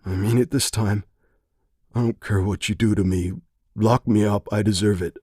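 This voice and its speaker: A man's voice: on the low side, steady tone, moderate pace, mellow, slightly rough, no echo, studio quality. A man voicing a weary, guilt-ridden hitman who mutters through phlegm in a dramatic audio story. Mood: defeated. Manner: muted, defeated muttering. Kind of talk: scripted short-story character voice.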